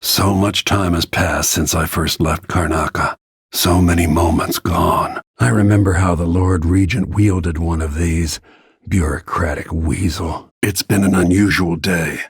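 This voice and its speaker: A grim middle-aged assassin dude from a video game, deep gravelly husky voice, super dry close sound, terse and broody. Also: male voice, fairly even intonation, a little slow, no room sound, full character voice. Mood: grim, resolute. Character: grim, battle-worn royal protector and assassin, protective father. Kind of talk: scripted video game character lines.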